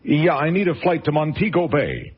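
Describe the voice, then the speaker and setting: A brash American TV producer. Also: male voice, fairly high pitch, wildly swooping pitch, normal speed, slightly rough, exaggerated voice. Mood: businesslike. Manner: brash and self-assured, dismissive. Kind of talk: radio drama acting. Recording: dull lo-fi sound under loud music.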